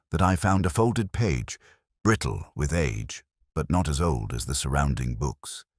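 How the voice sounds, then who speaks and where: A man narrating a detective story in the first person, in a measured, reflective, literary way. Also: a bass voice, no room sound, clean studio sound.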